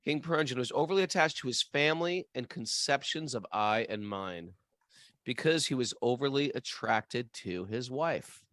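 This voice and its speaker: Man, co-host, casual spiritual chat, musing, thinks aloud, mid pitch, dry close sound. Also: animated, normal speed, a bit bright, dry recording. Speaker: podcast co-host. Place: home area in New England.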